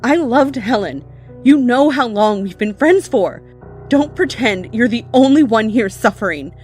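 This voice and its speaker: Woman playing high, pleading young peacemaker. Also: lively intonation, measured pace, darkish tone, soft background music. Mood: hurt, reproachful. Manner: pleading, reproachful. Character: upset, reproachful young woman, peacemaker among friends. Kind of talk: audio horror drama acting.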